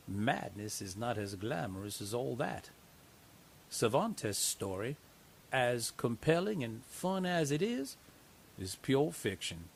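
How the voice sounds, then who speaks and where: A man narrating a radio drama in a wry, conversational tone, with a lively rise and fall in his voice. A faint hiss sits under the recording. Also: medium pitch, gravelly. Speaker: narrator and investigator.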